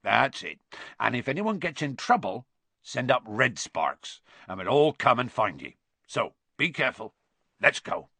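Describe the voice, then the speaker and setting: A man voicing a big, gruff, protective gamekeeper in a storybook, with blunt rustic grammar and a wary manner. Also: mid-range voice, sing-song, a little slow, bright, forceful tone, no echo, exaggerated voice. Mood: brisk, cautious. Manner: dialect grammar, urgent warnings, blunt.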